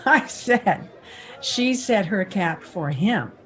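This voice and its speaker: A woman's voice: medium pitch, animated, extremely slow, fairly bright tone. An actress playing a knowing, worldly frontier saloon woman, wry and teasing, in a radio western. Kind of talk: old-time radio western acting.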